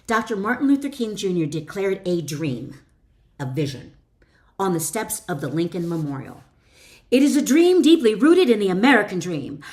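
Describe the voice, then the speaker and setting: Female author-narrator, wellness educator type, mid-range voice, emphatic and motivational, reading self-help material with stressed key phrases. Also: mid-range voice. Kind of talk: expressive self-help book reading with spoken asides.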